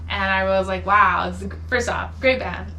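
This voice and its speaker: A woman's voice: medium pitch, steady tone, moderate pace, creaky, pushed and bright. A woman interviewing a friend at home, friendly and encouraging, with short affirmations. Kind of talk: casual conversational interview. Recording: echoey room with faint noise.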